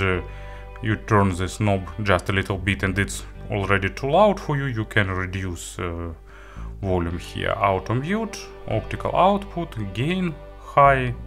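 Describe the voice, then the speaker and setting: A man presenting a product review, walking through features item by item in halting, non-native phrasing. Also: fairly low pitch, a bit bright. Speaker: audio gear reviewer.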